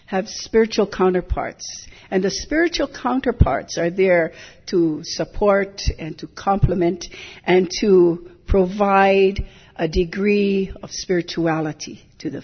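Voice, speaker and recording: An older woman from Hilo, giving a measured, reflective talk as a hula teacher, often drifting into digressions. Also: medium pitch, husky. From Hilo, Hawaii. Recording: dull lo-fi recording.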